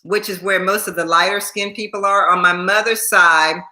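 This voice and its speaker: Woman, 60s, casual livestream host, chatty, repeats herself, bright tone, echoey room. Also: mid-range voice, fairly even intonation, moderate pace. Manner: chatty, repeats herself, laughs often, thanks viewers by name. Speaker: YouTube host. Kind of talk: casual livestream chat with viewers.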